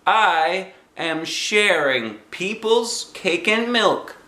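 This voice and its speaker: A man voicing a self-assured, excitable little kindergarten girl who tells her storybook tale in emphatic childlike grammar. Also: high-pitched, extremely slow, echoey room, pitched above the performer's own voice, exaggerated voice. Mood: proud, sweet. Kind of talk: first-person children's storybook reading.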